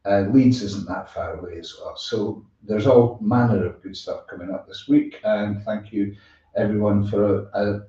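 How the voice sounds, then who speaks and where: Mellow low-voiced guy hosting a live music show, rambly and halting, roomy sound. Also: fairly even intonation. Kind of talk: casual live sign-off chat. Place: room with echo, away from the mic. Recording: roomy, distant, dull-sounding microphone.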